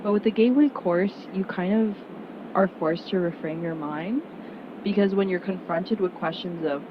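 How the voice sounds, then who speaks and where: A young woman speaks to a room of prospective students in an earnest, chatty way, leaning on "like" and "um" as she goes. Her voice sits a little low for a woman. The recording is muffled, with a clear steady rumble underneath. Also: moderate pace. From Miami, United States. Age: young adult. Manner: earnest, conversational, frequent "like" and "um" fillers. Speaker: student speaker at an information session. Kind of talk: casual presentation to prospective students.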